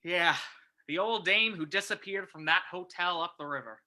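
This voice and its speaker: A man playing a gruff, fussing police commissioner in an old-time radio reading. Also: high voice, normal speed, pushed and bright. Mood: brisk.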